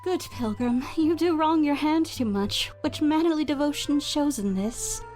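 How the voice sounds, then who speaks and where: An actress playing a young, lovestruck noble maiden, coy and earnest, delivering Shakespearean verse in an audio play. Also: female voice, sits high, moderate pace, darkish tone, natural voice. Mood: coy, tender. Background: music.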